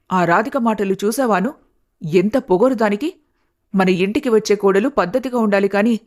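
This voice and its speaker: A mid-pitched female voice acted by a woman plays a middle-aged aunt in an animated family drama. She is protective and motherly, blunt and probing, and quick to disapprove. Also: close to an everyday voice. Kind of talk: animated family story dialogue.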